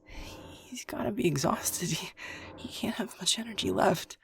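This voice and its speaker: A man voicing a timid, anxious, soft-hearted young man who hesitates and stammers in an audio roleplay. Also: high voice, measured pace, creaky, breathy edge, heavily acted. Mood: anxious, hushed.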